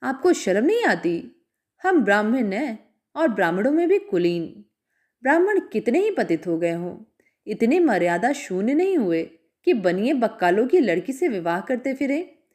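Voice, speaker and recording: A woman's voice: a little high, big pitch swings, moderate pace, darkish tone. A woman voicing a proud, stern old Brahmin father who scolds harshly in a storybook reading. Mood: outraged.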